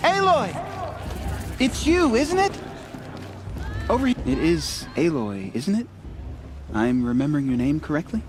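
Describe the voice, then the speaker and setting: A man playing a gentle, grateful young tailor in a video game, eager and polite with soft humor. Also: fairly high pitch, big pitch swings, a little slow, pauses often, a little hiss or hum. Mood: excited.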